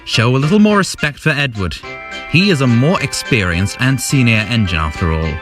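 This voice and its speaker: A man's voice: mid-range voice, lively intonation, normal speed, natural voice. A man voicing a blunt, exasperated steam engine in an audio story, scolding in a plain-spoken way. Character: blunt, fed-up male steam engine, defending his elders. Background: music.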